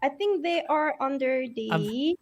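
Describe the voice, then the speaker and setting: Young woman from turkey, high voice, giggly and friendly, casual video chat. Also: expressive, takes it slowly, gravelly. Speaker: HR team member.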